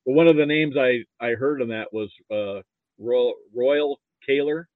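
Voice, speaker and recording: An American man, middle-aged or older, reminiscing casually as an interview guest in a halting way, often repeating words. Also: medium pitch, dry recording. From Nebraska, United States. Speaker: interview guest, football archivist. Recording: thin phone-like line.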